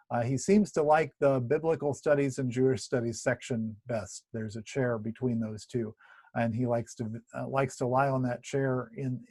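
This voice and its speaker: Pastor leading a home Bible study, scratchy tired voice, dry close sound, chatty. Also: male voice, mid-range voice, no echo. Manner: chatty, warm asides with many fillers and restarts. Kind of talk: casual Bible study talk. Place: at home, indoors. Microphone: video recording at home.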